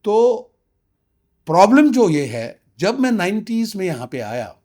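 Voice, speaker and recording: High-voiced, insistent older man. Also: very animated, unhurried, dark tone. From Kashmir, India. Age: around 60. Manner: emphatic, insistent, uses rhetorical questions. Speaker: interviewee, community representative. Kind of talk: interview testimony mixing Hindi with English phrases.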